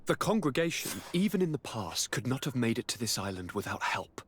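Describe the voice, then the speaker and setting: A man playing an affectionate young governor in a video game, with a theatrical, lively manner. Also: mid-range voice, fairly quick, breathy edge. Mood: intrigued, decisive. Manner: theatrical, lively, swinging to whispers. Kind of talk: video game cutscene acting.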